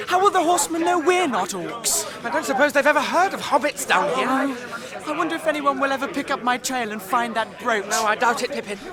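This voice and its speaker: An actor playing a small, anxious but plucky hobbit prisoner musing to himself in a radio drama. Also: male voice, very high-pitched, animated, brisk. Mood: glum, wistful. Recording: loud outdoor crowd clamour behind.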